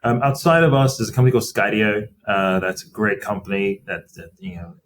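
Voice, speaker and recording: A middle-aged man, a company finance chief, answering interview questions in a measured, explanatory way with frequent fillers. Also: lowish voice. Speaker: company CFO as podcast guest. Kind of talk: interview conversation.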